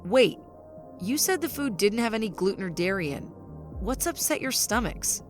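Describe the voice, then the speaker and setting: A woman's voice: medium pitch, very animated, normal speed, faint music behind, natural voice. Woman acting an anxious, determined amateur investigator. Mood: bewildered. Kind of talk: audio drama acting.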